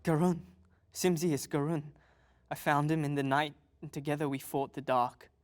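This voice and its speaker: Woman playing a low-voiced, earnest young boy. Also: steady tone, moderate pace, a little mellow, lightly acted. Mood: earnest, solemn. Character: earnest young boy of a tribe, doubting himself. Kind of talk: table read of a fantasy audio drama.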